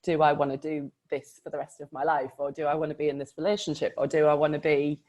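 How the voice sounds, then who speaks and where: A woman with a notably low voice talks about a book in a relaxed conversation. She is a coach, and she speaks reflectively, leaning on key words for emphasis. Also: fast talker. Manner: reflective, emphatic, often laughs.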